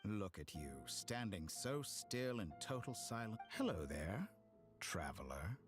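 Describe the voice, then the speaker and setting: An actor playing a smug, theatrical, calculating villain in a fantasy video game, silky and condescending. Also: male voice, lowish voice, sing-song. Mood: mocking praise. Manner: silky, condescending, deliberate provocation. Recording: game music behind the voice.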